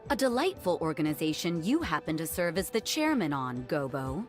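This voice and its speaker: A woman's voice: low voice, very animated, moderate pace, faint music behind. A woman voicing a defiant, sardonic noblewoman in a video game, with a blunt, commanding, barbed way of talking. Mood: cold, threatening. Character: defiant, sardonic noblewoman ruling a sunken city. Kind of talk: scripted video game cutscene acting.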